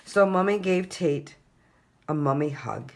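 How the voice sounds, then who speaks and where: A woman reading a picture book aloud as an expressive children's story-time narrator, deliberately drawing out key words. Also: deep voice, creaky.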